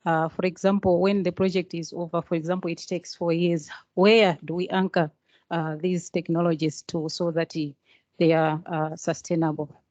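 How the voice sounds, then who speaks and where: A woman from Malawi who works on a project, asking a question at a workshop in measured speech with frequent pauses. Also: lowish voice, little pitch movement, darkish tone, no echo, lo-fi sound. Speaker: project worker. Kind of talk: conference floor question.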